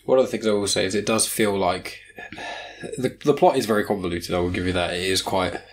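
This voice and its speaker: A man's voice: low-pitched, steady tone, fairly quick, slight background noise. A British man in his twenties hosting a casual film review podcast, rambling and correcting himself as he goes. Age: 20s. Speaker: podcast host.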